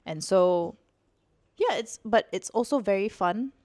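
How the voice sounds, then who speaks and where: A woman's voice: mid-range voice, animated, unhurried, a little mellow. A woman in her thirties with a Malaysian accent and Mandarin as her first language, co-hosting a casual chat podcast on a handheld mic in a living room. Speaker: podcast co-host.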